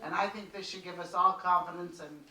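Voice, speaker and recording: A man in the audience of a panel, making an earnest, halting public comment full of restarts. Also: high-pitched, fairly even intonation, pushed and bright. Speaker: audience member. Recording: roomy hall, mic held at a distance.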